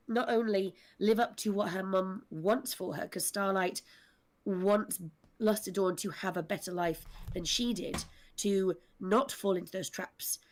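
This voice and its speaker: A woman speaking articulately and earnestly at length, explaining characters on a discussion panel. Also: medium pitch.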